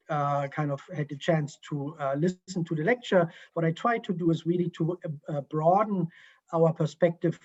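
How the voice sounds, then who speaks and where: A man with a German accent answers questions over a video call, choosing his words slowly and filling pauses with ums and uhs. His voice sits a little high. The call audio is dull and muffled. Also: a little mellow, breathy edge. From East Germany. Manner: halting, many ums and uhs, restarts. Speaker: guest historian.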